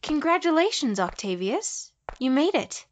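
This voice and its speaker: An actress playing a taunting, menacing woman who toys with her prey, calm and cryptic, in an audio drama. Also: high-pitched, measured pace, slight background noise.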